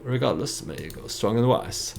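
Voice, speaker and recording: A man giving casual storytelling commentary over a strategy game, hesitant and halting as he thinks aloud. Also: lowish voice, expressive, creaky, darkish tone, soft background music. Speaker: let's play YouTuber narrating his strategy game.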